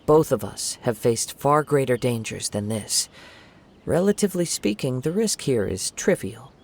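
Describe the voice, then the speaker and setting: An actor voicing a calm, thoughtful young wandering swordsman in a video game, speaking in measured, formal phrases. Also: mid-range voice, gravelly, slight background noise. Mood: reassuring, steady. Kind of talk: video game voice acting, scripted dialogue. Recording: game music behind the voice.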